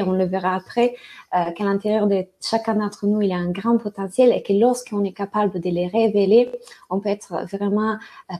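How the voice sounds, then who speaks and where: Italian woman coach, mellow, hesitant delivery on a webinar call. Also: mid-range voice. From Italy. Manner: hesitant, many fillers and restarts. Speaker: life and business coach. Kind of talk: webinar presentation.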